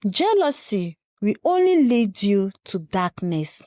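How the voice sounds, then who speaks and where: A woman's voice: mid-range voice, sing-song, takes it slowly, dark tone, no room sound, studio quality. A woman voicing a village father who solemnly counsels his son in a folktale. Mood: solemn warning.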